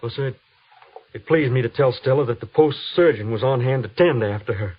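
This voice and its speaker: An actor playing a humble, anxious young cavalry trooper with a hesitant, folksy, pleading manner in an old-time radio western. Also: male voice, mid-range voice, brisk, slightly rough, natural voice. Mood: anxious, halting. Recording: muffled old radio broadcast.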